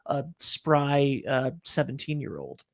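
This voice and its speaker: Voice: mid-range voice, sing-song, slow pace, creaky. An interview host asking questions in casual conversation.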